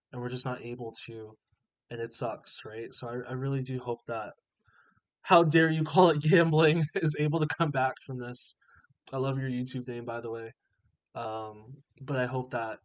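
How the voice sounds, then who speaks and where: Guy w a stuffy nose reading viewer shoutouts, mid-pitch voice, encouraging, chuckles here and there. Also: low-quality recording. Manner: encouraging, reassuring. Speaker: trading channel host.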